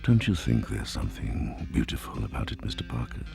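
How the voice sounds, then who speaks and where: Very deep, gravelly male audiobook narrator. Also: very animated, a little fast. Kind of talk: dramatic scripted reading of a crime novel. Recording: music behind the voice. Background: music.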